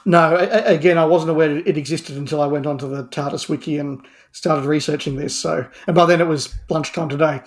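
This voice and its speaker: A man's voice: fairly high pitch, steady tone, brisk. A man speaking as a podcast guest, giving his opinions in casual review chat.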